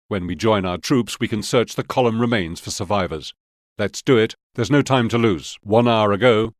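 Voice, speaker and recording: Low-voiced, sober army officer played by an actor. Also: male voice, no echo, studio quality, lightly acted. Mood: grave, determined. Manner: measured, instructive, serious. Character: sober Soviet army officer briefing his men. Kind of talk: scripted mission briefing.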